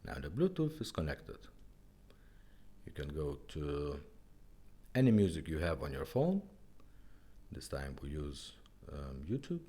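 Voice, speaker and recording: A man who speaks English as a second language, giving a casual, halting product demonstration in simple phrases. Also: a bass voice, sing-song, dark tone, husky. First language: not English. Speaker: presenter demonstrating restored car radios.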